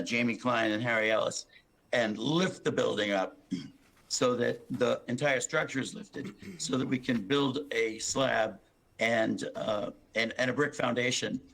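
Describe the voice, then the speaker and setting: A hoarse man presenting his building plan to a town planning board, rambling with many restarts and fillers. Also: medium pitch, a bit bright. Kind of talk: public meeting testimony.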